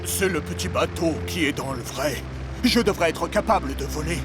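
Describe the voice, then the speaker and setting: Un homme qui double une locomotive-zeppelin sérieuse et expressive, rêvant de voler, dans un dessin animé en français. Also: male voice, a little high, moderate pace, over music, natural voice. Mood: earnest, wistful. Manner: earnest, expressive. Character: earnest male rail zeppelin engine, dreaming of flight. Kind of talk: French-dubbed children's cartoon.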